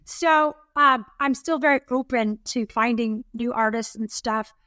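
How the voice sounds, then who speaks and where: A woman's voice: a little high, measured pace, pushed and bright, no echo, clean studio sound. A woman in her fifties co-hosting a podcast, chatting casually and encouraging listeners. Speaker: podcast co-host. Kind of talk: casual chat.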